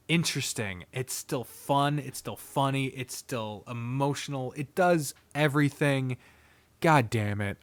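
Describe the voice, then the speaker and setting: A man's voice: mid-range voice, dry recording. Blunt man rambling through casual reviews. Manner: blunt, frequently swearing, rambling asides. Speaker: video host reviewing visual novels. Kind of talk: casual unscripted review commentary.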